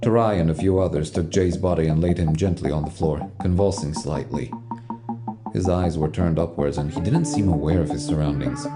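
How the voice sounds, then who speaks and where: Male narrator, low voice, dramatic scripted storytelling, music behind. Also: normal speed.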